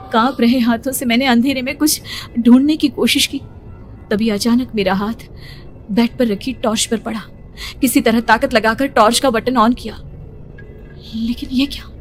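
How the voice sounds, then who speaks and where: A young woman narrating a horror cartoon story in the first person, hushed and suspenseful. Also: sits high. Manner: hushed, suspenseful, rising to frightened.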